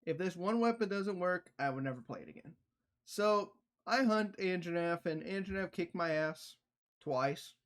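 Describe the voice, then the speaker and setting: A man livestreaming casual reaction commentary, talking in a slangy, profane, animated storytelling way. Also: high-pitched, normal speed.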